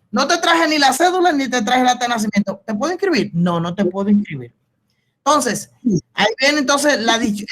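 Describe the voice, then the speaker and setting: A man with a Dominican accent gives an informal, halting explanation as a student in an online class, often repeating and rephrasing. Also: very high-pitched, fairly bright tone. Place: video conference call.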